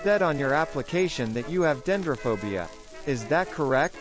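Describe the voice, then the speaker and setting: An actor playing a clinical researcher in an analog horror drama, questioning a test subject in a measured, methodical way. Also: male voice, on the high side, lively intonation, music in the background, lightly acted. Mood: clinical, probing. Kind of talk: analog horror found-footage acting, interview.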